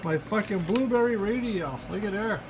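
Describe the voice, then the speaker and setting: High-pitched folksy man over clear background noise. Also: moderate pace. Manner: mock-earnest, repetitive, folksy slang. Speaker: hobbyist video presenter. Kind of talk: casual joking product demonstration. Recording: noisy recording with steady background hum.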